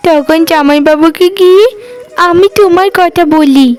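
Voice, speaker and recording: A woman's voice: very high-pitched, a little slow, a little mellow, faint music behind. A woman playing an affectionate, eager little sister in a cartoon, with a childlike delivery. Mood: earnest affection. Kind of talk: cartoon dialogue acting.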